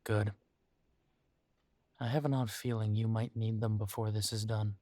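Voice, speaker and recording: A low-pitched, protective werewolf leader, acted by a man for an intimate roleplay audio drama. He is earnest and weary but determined, speaking deliberately with pauses. His intonation stays quite level, and the sound is dry and close. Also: little pitch movement, dark tone, no room sound, close to an everyday voice. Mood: grave, cautious.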